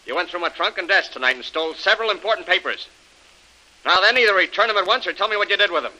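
A man's voice: high voice, lively intonation, fast, pushed and bright, full character voice, low-quality recording. An actor playing a menacing male villain in an old radio drama, talking in hard-boiled, clipped threats. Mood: threatening. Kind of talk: 1930s radio acting.

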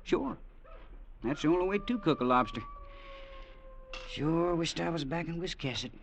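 An actor playing a wry, homesick cavalry trooper with a folksy, sardonic manner in a 1950s radio drama. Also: male voice, a little high, lively intonation, normal speed, gravelly, lo-fi sound. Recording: old radio broadcast, faint noise.

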